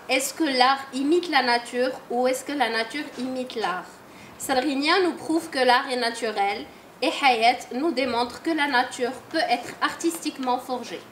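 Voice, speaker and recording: High-voiced woman reading a literary review. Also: a bit bright, roomy sound, a little hiss or hum. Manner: measured, literary reading from a phone. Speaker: reader at a literary salon. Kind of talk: reading a prepared book review aloud. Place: large salon room with audience.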